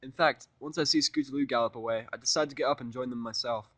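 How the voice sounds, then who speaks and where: A man's voice: medium pitch, quick pace, fairly bright tone, no room sound. A man narrating fan fiction in the first person, with irreverent, sweary casual asides.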